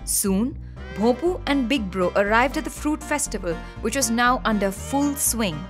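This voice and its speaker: High-voiced woman narrating a children's story. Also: expressive, unhurried, over music.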